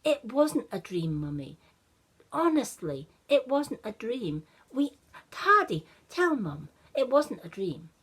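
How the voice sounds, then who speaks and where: An earnest little boy played by a woman storyteller in a children's tale. The voice sits higher and pushes emphatically, full of pleading and excitable conviction. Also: slow, husky, full character voice. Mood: insistent, pleading. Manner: insistent, emphatic.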